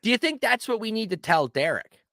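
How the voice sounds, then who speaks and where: Lively, bright-voiced man chatting. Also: sits high, brisk, gravelly, no echo, clean studio sound. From Pennsylvania. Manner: animated, playful questioning. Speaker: channel host. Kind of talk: casual chat.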